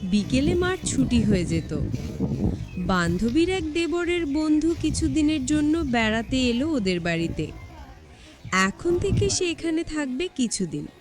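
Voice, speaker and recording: A woman's voice: high voice, sing-song, unhurried, over music. A woman reading a scripted first-person story as a narrator.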